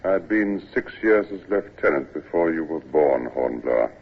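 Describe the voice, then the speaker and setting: Brief: a dry, gloomy old naval captain, husky bass, phone-thin vintage radio. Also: male voice, lively intonation, heavily acted. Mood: stern. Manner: slow, dry, morose. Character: gloomy old male ship's captain, stern but not unkind. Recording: tinny old radio broadcast.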